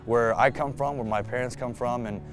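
A man's voice: medium pitch, fairly even intonation, moderate pace. A man, giving a reflective sit-down interview as a basketball player and often repeating words as he restarts. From United States. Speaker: national team player. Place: indoor arena seats.

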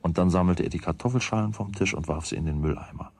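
A man narrating a children's radio play as a wry, deliberate storyteller with a husky voice. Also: low-pitched, a little mellow, dry recording. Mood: wry, measured. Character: wry storyteller of a boy's everyday troubles.

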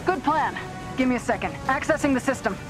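A woman's voice: sits high, expressive, brisk, rough recording. An actress playing a cool, focused female spy in a superhero video game, matter-of-fact in delivery. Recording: loud music over the voice.

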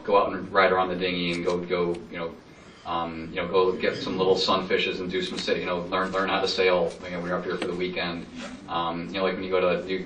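Deep, flat-voiced man talking fast. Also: slight background noise. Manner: rambling, enthusiastic, many fillers. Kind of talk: answering questions at a public meeting. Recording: roomy hall, distant mic.

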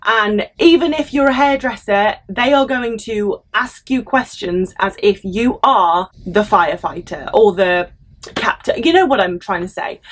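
A young woman with a British accent giving candid, casual advice straight to camera in an animated, chatty way. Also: mid-range voice, poor audio. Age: young adult. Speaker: vlogger, former cruise ship crew member.

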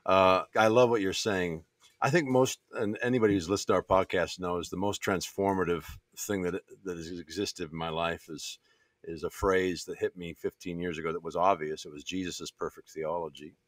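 A man's voice: low voice, steady tone, moderate pace, no room sound. A man hosting a conversational interview, talking in an earnest, rambling way as he builds long, reflective questions and qualifies himself. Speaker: podcast host.

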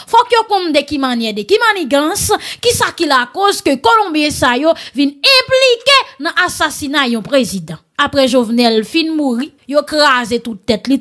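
High-pitched, animated Haitian woman commentator. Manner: animated, bantering, addresses listeners familiarly. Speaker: online news host. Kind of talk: conversational live news commentary.

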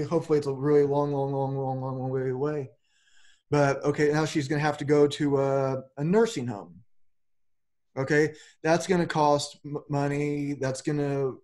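A man's voice: fairly high pitch, darkish tone. A man in his forties talking loosely and rambling a little, with casual swearing, as a podcast host on a video call from home. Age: 40s. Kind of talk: casual philosophical conversation. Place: home room with a plain backdrop. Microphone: webcam on a video call.

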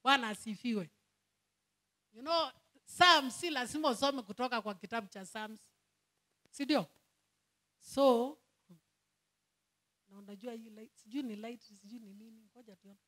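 Kenyan woman preacher, high voice, fervent prayer rhythm, code-switching sermon in church. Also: normal speed, pauses often, pushed and bright. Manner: switches between Swahili and English, fervent prayer cadence.

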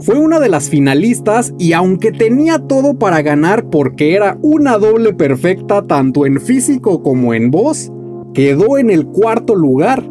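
A man in his thirties hosting a music video, reading a scripted countdown narration with lively delivery. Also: high-pitched, moderate pace. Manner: lively, announcing countdown entries. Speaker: music journalist and channel host. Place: small room at home, indoors.

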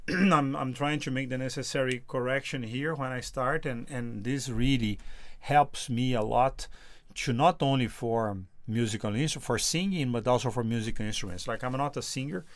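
Man reviewing software, halting and dry. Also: medium pitch, dry recording. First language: Portuguese. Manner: halting, repeats words, sings test notes loudly. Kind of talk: casual screen-recorded software review.

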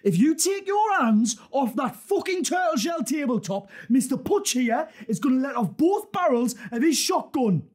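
A man's voice: very high voice, sing-song, normal speed, hardly any pauses, darkish tone. A man acting a menacing, sadistic plantation owner in a film villain monologue. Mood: explosive rage.

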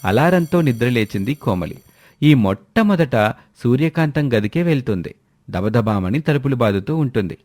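A man's voice: mid-range voice, expressive, moderate pace, darkish tone. A man reading scripted narration for a family moral story.

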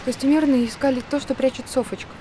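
A woman's voice: sits high, fairly even intonation, fast talker, warm tone, slight background noise, natural voice. A woman playing an anxious, protective and hesitant young character in a screen drama. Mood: anxious, pleading.

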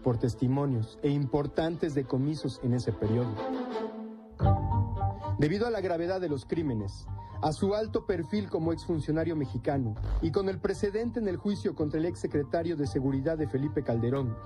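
A man's voice: fairly high pitch, moderate pace. Male news reporter over music. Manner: steady formal read. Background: music bed.

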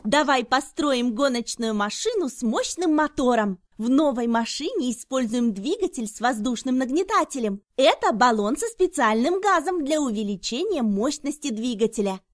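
A woman's voice: high-pitched, expressive, normal speed, hardly any pauses, no echo, clean studio sound. A woman reading scripted narration for a children's educational cartoon in simple, instructional phrases.

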